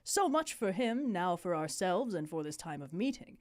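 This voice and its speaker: A woman's voice: fairly low pitch, big pitch swings, moderate pace, no echo, studio quality. A woman voicing a stately Danish king in a formal, declamatory Shakespeare read-aloud. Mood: pompous, businesslike. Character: stately Danish king addressing his court.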